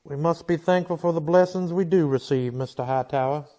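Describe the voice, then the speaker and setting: An actor playing a stern frontier marshal with a dry, deadpan manner in a radio western. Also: male voice, a little high, brisk, lightly acted. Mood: dryly sarcastic. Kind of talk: old-time radio western acting.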